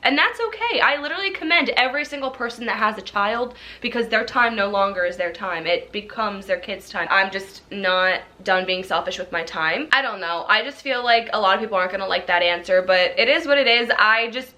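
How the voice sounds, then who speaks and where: Bright-voiced chatty young woman vlogger. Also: mid-range voice, fairly quick, few pauses. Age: 20s. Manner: chatty, animated, laughs often. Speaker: YouTuber. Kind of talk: casual Q&A vlog.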